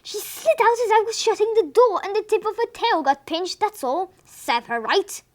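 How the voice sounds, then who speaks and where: A woman's voice: very high-pitched, very animated, moderate pace, pitched above the performer's own voice. A woman voicing a stubborn, grumbling elderly husband who is kind underneath, sounding exasperated.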